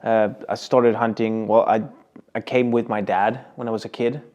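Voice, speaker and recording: Easygoing Swedish man, lowish voice. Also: flat delivery, normal speed, a little mellow, dull audio. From Sweden. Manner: easygoing, with short agreeing replies. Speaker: podcast co-host. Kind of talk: casual podcast conversation.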